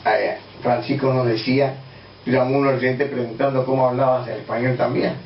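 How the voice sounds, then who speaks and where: A man's voice: medium pitch, level tone, normal speed, muffled recording, slight background noise. Radio host man, roomy and distant. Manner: conversational, brief prompts. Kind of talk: radio interview.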